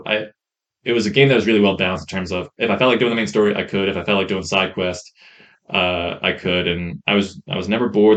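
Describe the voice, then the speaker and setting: A man co-hosting a podcast, reviewing games in a casual, enthusiastic ramble on a rough-sounding mic. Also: fairly low pitch, fairly bright tone. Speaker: podcast co-host. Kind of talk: casual chat, game review.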